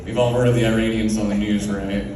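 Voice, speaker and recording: A man's voice: on the low side, little pitch movement, quick pace, noisy background. A young man from Oklahoma doing a stand-up comedy set, with a laid-back delivery full of fillers. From Oklahoma, USA. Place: comedy club stage. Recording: very echoey club room from far off. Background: audience laughter.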